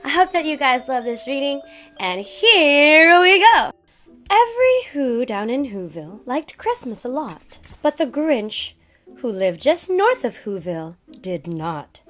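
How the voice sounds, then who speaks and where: A woman hosting a read-aloud show, speaking in an expressive, theatrical storyteller's manner. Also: high-pitched, takes it slowly, faint music behind. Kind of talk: expressive storybook reading with chatty introduction.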